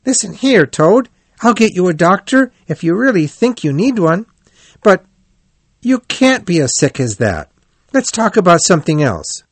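A man voicing a cheerful, brisk male water rat in an animal storybook, with a bright, practical manner. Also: sits high, very animated, no room sound, voice pushed up, exaggerated voice. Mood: concerned, firm.

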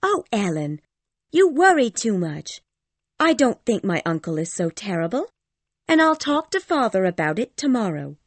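A man voicing an eager, innocent, headstrong teenage girl in storybook dialogue, bright and insistent. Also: high-pitched, very animated, normal speed, dry recording, voice pushed up, exaggerated voice. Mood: dismissive, confident.

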